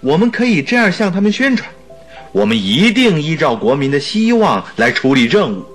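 Radio drama sidekick: talkative doctor, eager, expressive, swooping intonation, faint music underneath. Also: male voice, fairly high pitch, normal speed. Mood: excited, jovial. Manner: theatrical, exclaiming, expressive. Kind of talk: Mandarin radio drama acting.

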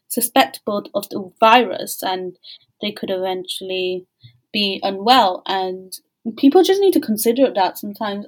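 A woman with a medium-pitched voice hosts a podcast interview. She speaks casually and informally, with halting phrasing as she works through her thoughts and questions. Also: poor audio. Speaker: podcast host.

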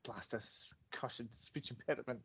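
A man's voice: mid-range voice, unhurried, gravelly, bright, forceful tone, husky. A man chatting casually about a film as a podcast panelist.

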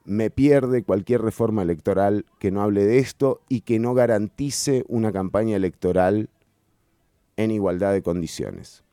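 Guy on the radio, mellow low-key voice, hammers his points home. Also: normal speed, dry recording, clean studio sound. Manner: emphatic, repeats key phrases for stress. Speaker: radio show host. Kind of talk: political opinion commentary. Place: radio studio.

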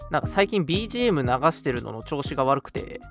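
Guy hosting a chatty online radio show, jokey n teasing, very animated pitch, music behind. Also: fast talker. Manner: jokey, teasing, laughs often. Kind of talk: casual chat on a live-streamed radio show.

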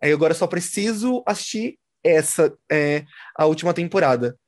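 A woman's voice: deep voice, moderate pace. A young woman co-hosting a show in casual, conversational chat. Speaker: show co-host.

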